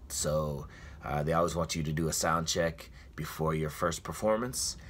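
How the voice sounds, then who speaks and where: A man's voice: a bass voice, moderate pace, slight background noise. A man in his thirties, a comedian, talking casually and jokingly to his phone camera, held at arm's length, aboard a cruise ship. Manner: relaxed, self-mocking, chatty. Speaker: comedian vlogger. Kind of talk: casual vlog. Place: cruise ship cabin and theater.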